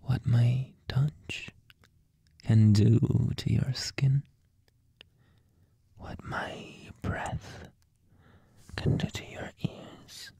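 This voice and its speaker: A young man doing a whispered ASMR roleplay as a teasing boyfriend, talking slowly in breathy whispers with soft chuckles into a close microphone. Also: mid-range voice, fairly even intonation, no echo.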